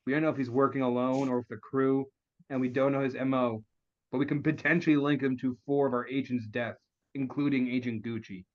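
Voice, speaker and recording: A man's voice: medium pitch, flat delivery, a little fast, lightly acted. A man playing a stern, businesslike secret agency chief in a comedy table read. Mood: grave briefing. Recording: dull, lo-fi online call.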